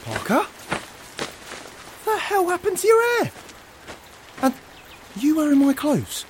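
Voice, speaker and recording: A man's voice: fairly high pitch, big pitch swings, normal speed, noisy background. An actor playing a wary young male survivor in an audio drama, speaking in a blunt, startled manner. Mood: startled, sheepish. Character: wary young male survivor, armed, gruff but friendly.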